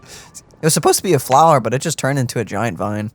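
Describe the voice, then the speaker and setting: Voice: mid-range voice, expressive, fast talker, a little hiss or hum. A game streamer giving casual, playful commentary with teasing, joking asides during a playthrough.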